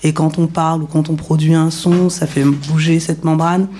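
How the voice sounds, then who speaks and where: A woman's voice: sits low, level tone, moderate pace, mellow, slight background noise. A woman talking casually in a round-table discussion, searching for her words as she goes. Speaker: radio workshop participant.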